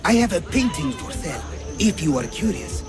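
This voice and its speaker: An actor playing a flattering male bazaar merchant with florid sales patter, in a dramatic video game. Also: high-pitched, moderate pace, music in the background. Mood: coaxing.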